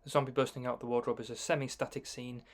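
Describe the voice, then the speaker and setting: A man with an average-pitched voice speaks as a gaming video commentator. He explains scenes in an informative voice-over style. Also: level tone, fast.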